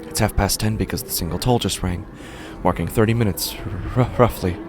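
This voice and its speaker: A man narrating a first-person horror story in a halting, uneasy way, often breaking off mid-sentence, over a music score. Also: lowish voice. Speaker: podcast narrator.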